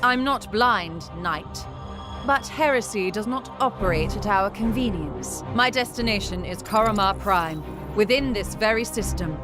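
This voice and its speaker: An actress voicing a haughty, sardonic inquisitor in a sci-fi game, imperious and dry in manner. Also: female voice, mid-range voice, expressive, a little slow, over music, lightly acted. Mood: cool disdain. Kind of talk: dramatic game dialogue.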